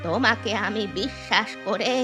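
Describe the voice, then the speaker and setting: A woman voicing a cartoon mother-in-law, the household matriarch, with a deliberate, exaggerated delivery. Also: medium pitch, music in the background, natural voice. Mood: disgusted, scolding. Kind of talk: Bengali cartoon storytelling voice acting.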